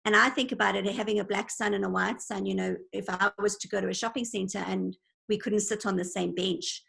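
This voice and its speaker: A woman's voice: mid-range voice, steady tone, fairly quick, creaky, fairly bright tone. A woman in her forties from Zimbabwe, telling stories in an earnest, reflective way as an interview guest on an online call. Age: 40s. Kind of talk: casual conversation. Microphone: video call.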